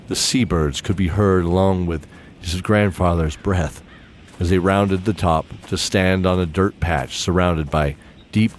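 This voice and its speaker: Deep-voiced male fantasy narrator. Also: darkish tone. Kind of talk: scripted storybook narration for fantasy audio drama. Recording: clear background ambience noise.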